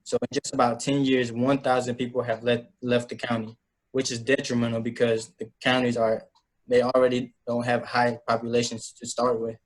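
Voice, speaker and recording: A man's voice: mid-range voice, monotone, moderate pace, rough recording. A young man giving a prepared student presentation. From Louisville, Kentucky, US. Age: young adult.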